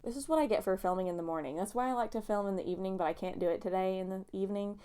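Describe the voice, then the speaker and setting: A woman's voice: mid-range voice, racing, darkish tone, clean tone. A young woman, chatting casually about books. She sounds a little nasal and sniffly from allergies and rambles off on playful tangents. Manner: chatty, self-mocking, goes off on tangents. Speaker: booktuber. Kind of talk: casual rambling book vlog.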